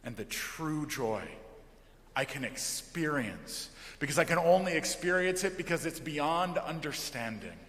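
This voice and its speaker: An American man preaching a sermon as a pastor, earnest and emphatic, with phrases that often trail off softly. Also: a little high, expressive, unhurried. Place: large indoor church during worship. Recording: echoey church hall with long reverb.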